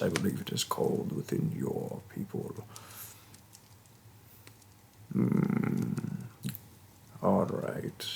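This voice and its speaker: Man as fantasy orc shaman, heavy gravel, lowish animated pitch, stern, gruff, hospitable, formal roleplay delivery. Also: a little hiss or hum, full character voice. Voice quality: gravelly, husky. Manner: slow, deliberate, formal phrasing. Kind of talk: fantasy roleplay audio addressed to the listener.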